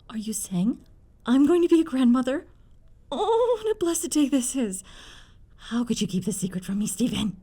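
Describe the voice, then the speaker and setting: An actress playing a doting, excitable middle-aged mother who is quick to scold, in an audio roleplay. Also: sits high, husky, exaggerated voice. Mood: overjoyed, tender.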